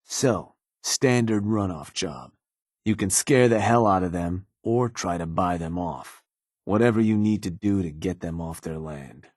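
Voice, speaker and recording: A cynical gang advisor from a video game, speaks in a very deep, husky voice. He is laid-back and slangy, with sly, folksy menace as he addresses his boss. The sound is close and dry. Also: unhurried, a little mellow, no echo. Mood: wry, explaining. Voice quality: husky, low. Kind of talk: video game character dialogue.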